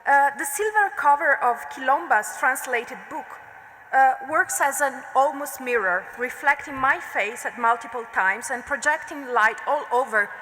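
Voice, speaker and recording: A woman with an Italian accent, a non-native speaker who is an art history researcher, reads a prepared academic lecture at a conference in a measured, deliberate way. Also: high-pitched, bright, forceful tone. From Italy. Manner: measured, deliberate delivery with occasional slips and fillers. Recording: long reverb of a lecture hall.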